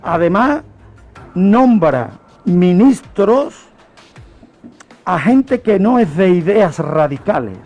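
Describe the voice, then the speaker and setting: A man's voice: high-pitched, big pitch swings, takes it slowly, long pauses, highs cut off, faint music behind. A man presenting a history segment on the radio, telling it like an emphatic lecture full of rhetorical questions and repetition.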